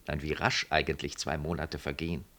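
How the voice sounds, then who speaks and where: An actor playing a vain, fawning married professor in a German radio play, with a jovial, gushing manner. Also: male voice, extremely low pitch, little pitch movement, brisk, bright, forceful tone. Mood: effusive. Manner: gushing, jovial, ends remarks with "Wie?".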